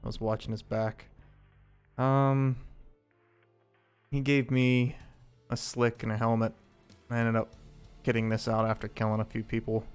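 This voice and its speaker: A man's voice: medium pitch, little pitch movement, unhurried, clear, resonant tone, faint music behind. A man streaming a video game live, chatting casually with viewers, rambling with lots of fillers as he loots and sorts gear.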